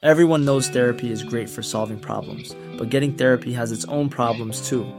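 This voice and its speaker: A man reading a scripted ad in a smooth, reassuring tone. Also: mid-range voice, a little fast, music in the background. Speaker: ad announcer. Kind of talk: scripted ad read.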